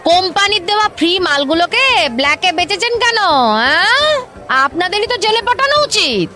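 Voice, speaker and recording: A woman's voice: very high voice, lively intonation, brisk, pushed and bright, soft background music, heavily acted. A woman playing a haughty, hectoring government officer in a comic radio drama, interrogating and repeating her questions sharply. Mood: indignant, accusing.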